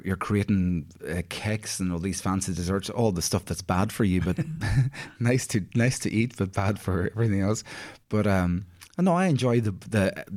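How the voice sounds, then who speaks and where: A man's voice: low voice, darkish tone. A man with a Northern Irish accent chatting in a friendly, casual way as an interview guest, reminiscing with frequent you-knows. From County Derry, Northern Ireland.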